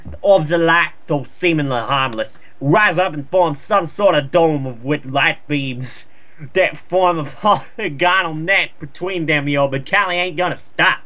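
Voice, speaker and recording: A man's voice: fairly high pitch, measured pace, pushed and bright. A man casually reading slang-laden fan fiction aloud for comedy, with sarcastic asides.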